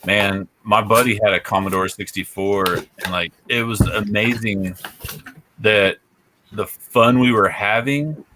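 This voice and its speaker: A man's voice: on the low side, measured pace, background noise. A man in his forties from rural Oklahoma, co-hosting a podcast and telling laid-back stories in casual chat. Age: 40s. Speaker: podcast co-host.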